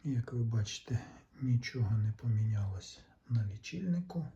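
A man giving a casual, step-by-step repair demonstration, thinking aloud in an unhurried, explanatory way. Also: mid-range voice, expressive, warm tone, dull audio, slight background noise. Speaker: electronics repair hobbyist narrating.

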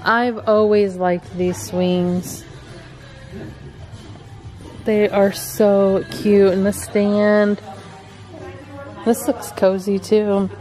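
A woman's voice: medium pitch, level tone, extremely slow, long pauses, a little mellow, faint music behind, poor audio. A middle-aged woman, filming a chatty shopping vlog in a home decor store and gushing over cute items on a handheld camera. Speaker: vlogger. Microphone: handheld camera mic.

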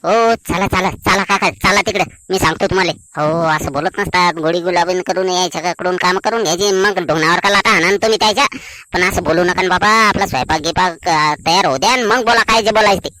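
Man as a bright-voiced, coaxing cartoon peacemaker. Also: high voice, fairly quick, no room sound, poor audio. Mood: placating. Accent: rural Maharashtrian. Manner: coaxing, reasoning. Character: calm, placating male wedding peacemaker. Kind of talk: cartoon comedy dialogue.

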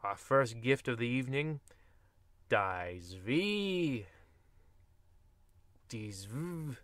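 A grandiose old-time radio horror host, acted by a man, formal and theatrical. The voice is forceful and bright at a middle pitch, recorded dry and close. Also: animated, extremely slow, dry recording. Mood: formal, proud.

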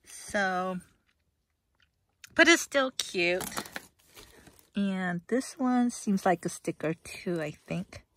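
A woman vlogging casually at home, with a hoarse voice, rambling through an unboxing with lots of fillers and restarts. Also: medium pitch, lively intonation, extremely slow, long pauses, a bit bright, slight background noise.

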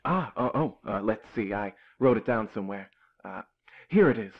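A man's voice: fairly low pitch, animated, moderate pace, creaky, muffled recording. A man playing a flighty young artist boyfriend in an audio drama, florid and dramatic in manner. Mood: playful. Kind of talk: modern audio drama acting.

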